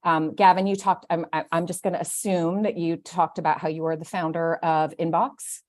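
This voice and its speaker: A woman hosting a live online Q&A, talking in an animated, rambling, self-deprecating way. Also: on the low side, moderate pace. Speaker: host.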